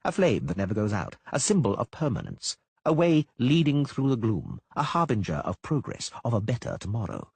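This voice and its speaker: A man voicing a quiet, dispassionate male minister with veiled menace. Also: medium pitch, sing-song, fairly quick, creaky, hardly any pauses, husky, no echo, voice pushed up, exaggerated voice. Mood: solemn. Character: calm, menacing middle-aged male minister.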